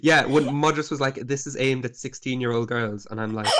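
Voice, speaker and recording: A man co-hosting a casual podcast chat, relaxed and self-mocking as he reads out his notes. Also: mid-range voice, a little fast, very noisy. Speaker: podcast co-host.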